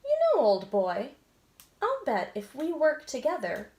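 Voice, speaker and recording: A woman's voice: high-pitched, huge pitch swings, measured pace, darkish tone, pitched above the performer's own voice, exaggerated voice. A woman voicing a proper, chatty old male tortoise in a children's storybook. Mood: friendly. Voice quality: prim, old-fashioned phrasing. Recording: roomy echo.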